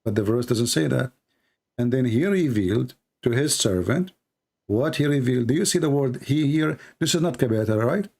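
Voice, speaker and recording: A man's voice: medium pitch, expressive, moderate pace, creaky, darkish tone. A man with an Arabic accent and Arabic as his first language, debating religion insistently with challenging questions and repeated points. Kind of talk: argumentative live religious debate.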